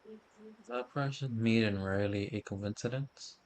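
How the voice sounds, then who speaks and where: A man's voice: on the low side, very animated, a little slow. A man doing a casual video game playthrough, commenting and reading the on-screen text aloud. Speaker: game streamer.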